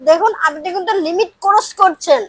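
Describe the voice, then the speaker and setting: A woman acting as a hurried, indignant delivery courier who insists and protests, in an amateur audio drama. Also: very high-pitched, dry recording. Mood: angry, indignant. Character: young female parcel courier, hurried, indignant, standing her ground.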